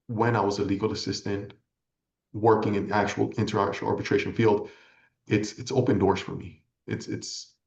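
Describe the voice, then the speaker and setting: A man from California whose first language is Spanish, giving earnest, measured, reflective answers as an interview guest. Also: on the low side, gravelly, darkish tone. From California, United States. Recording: echoey room, slightly dull audio.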